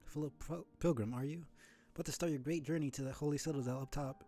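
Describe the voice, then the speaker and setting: A man's voice: fairly high pitch, steady tone, fast talker, dark tone, faint music behind, voice pushed up, close to an everyday voice. A man voicing a weary, devout bug pilgrim from a video game, solemn and welcoming in old-fashioned phrasing. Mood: gentle, solemn. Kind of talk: reading game dialogue aloud.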